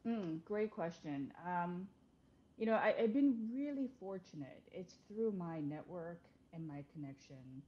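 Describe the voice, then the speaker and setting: A woman's voice: lowish voice, lively intonation, a little slow, dull audio. A woman in her forties, born in South Korea, talking casually as a podcast guest with frequent fillers and restarts. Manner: conversational, many fillers and restarts, laughs easily. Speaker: interior design principal, podcast guest. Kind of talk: casual interview chat.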